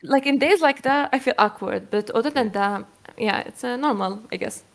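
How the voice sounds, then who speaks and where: A young woman guest answering interview questions in a hesitant, rambling way. She pauses often and fills the gaps with "like" and "you know", and her voice sits fairly high. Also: creaky. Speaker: VTuber guest. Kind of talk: casual interview chat.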